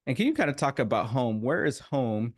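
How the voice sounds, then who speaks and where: A man's voice: mid-range voice, very animated, brisk. Male podcast host, casual and friendly, asks easygoing questions. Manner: casual, often says "kinda". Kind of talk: podcast interview.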